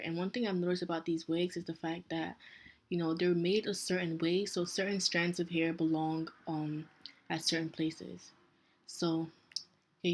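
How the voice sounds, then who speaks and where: A young woman from Nigeria with a Nigerian accent, talking casually through a step-by-step hair tutorial, relaxed and chatty with small self-corrections. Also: on the low side.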